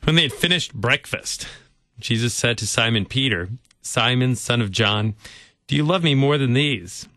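A man's voice: medium pitch, expressive, moderate pace, pushed and bright, no room sound, clean studio sound. A man, a vicar, talking earnestly and reflectively about scripture in casual conversation. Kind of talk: casual Bible discussion.

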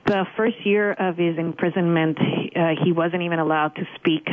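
A woman's voice: on the low side, steady tone, moderate pace. A middle-aged Cuban American woman with a Cuban accent, answering interview questions earnestly with frequent fillers. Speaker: religious freedom advocate guest. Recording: tinny phone line.

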